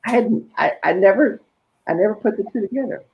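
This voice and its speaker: Raspy male guest on a lo-fi video call, gravelly low edge, easygoing chat. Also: fairly quick. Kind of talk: casual chat.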